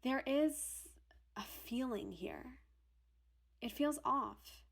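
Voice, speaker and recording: A woman's voice: sits high, very slow, vocal fry, full character voice. A woman playing a domineering, impatient leader of a paranormal investigation in a radio drama, with a commanding, irritable delivery. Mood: solemn, staged. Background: music.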